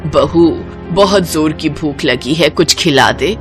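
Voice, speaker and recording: A woman's voice: low-pitched, slow pace, soft background music, pitched below the performer's own voice. A woman voicing a stern elderly mother-in-law in a cartoon story, firm and scolding. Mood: plaintive.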